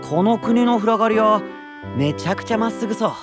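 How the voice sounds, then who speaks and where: A man's voice: sits high, a little fast, over music, natural voice. An actor plays a curious, easygoing teenage boy knight in an anime audio drama, casual and open in manner. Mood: cheerful.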